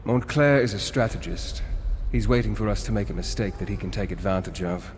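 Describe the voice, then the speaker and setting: An actor playing a grave, regretful vampire count and mentor in a video game, with solemn, measured, formal speech. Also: male voice, fairly low pitch, lightly acted. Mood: grave, instructing. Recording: clear background noise.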